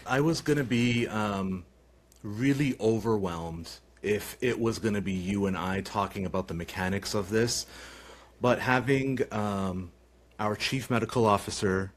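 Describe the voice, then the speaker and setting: A man hosting a podcast, his voice set fairly low. He asks long, winding questions and leans on fillers like um and you know as he builds up to his point. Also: measured pace. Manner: warm, rambling questions with many fillers. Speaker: podcast host, physician. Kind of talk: podcast interview.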